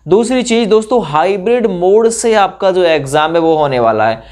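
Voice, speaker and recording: A man's voice: high voice, lively intonation, normal speed, darkish tone. A man presenting an exam update, explaining step by step with frequent check-in tags.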